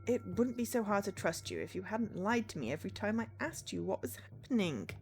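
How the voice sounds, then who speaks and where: A woman's voice: mid-range voice, sing-song, brisk, darkish tone, soft background music. A woman voicing a tough, suspicious female investigator in a cyberpunk game, speaking bluntly. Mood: fed up.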